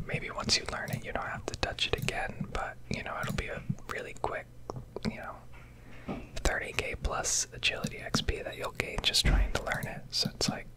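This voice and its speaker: Whispering woman, gaming ASMR. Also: rough recording. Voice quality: whispered, breathy. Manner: casual, rambling, hesitant pauses. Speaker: video creator, game streamer.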